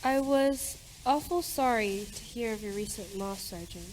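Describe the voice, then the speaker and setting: A woman's voice: medium pitch, measured pace, very noisy, close to an everyday voice. A woman playing a proper, fretful mother and hostess in an amateur radio play, with genteel theatrical delivery. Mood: polite.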